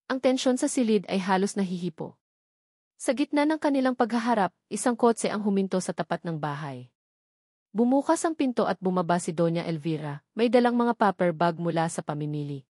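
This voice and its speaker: A woman narrating a romantic drama story in a steady storytelling delivery. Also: mid-range voice, no room sound, studio quality.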